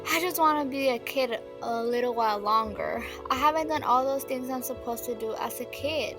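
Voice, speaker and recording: Young woman acting anxious seventeen-year-old girl, high-pitched, guarded, audio drama, light music behind. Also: moderate pace, close to an everyday voice. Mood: hesitant.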